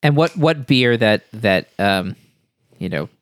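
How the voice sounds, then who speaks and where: A man with a lowish voice chimes in with halting, restart-filled questions and dry jokes. The sound is close and dry. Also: expressive, no echo. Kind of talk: casual chat.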